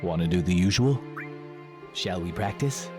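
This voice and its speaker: A man's voice: low-pitched, lively intonation, normal speed, over music. A man playing an earnest young soldier in a video game, speaking in a reflective way. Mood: casual. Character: earnest young male soldier recounting his past.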